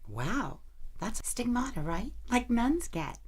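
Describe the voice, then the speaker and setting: A woman's voice: on the high side, sing-song, slow pace, slightly rough, full character voice. A woman playing a smart, sardonic college student in an audio drama, dry, blunt and teasing. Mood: skeptical, mocking.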